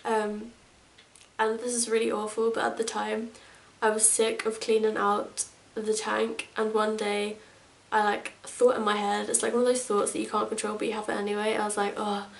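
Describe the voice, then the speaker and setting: A woman's voice: mid-range voice, monotone, normal speed, gravelly. A woman in her twenties from England, chatting casually to camera as a vlogger, rambling with lots of fillers. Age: 20s. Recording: echoey room, mic at a distance.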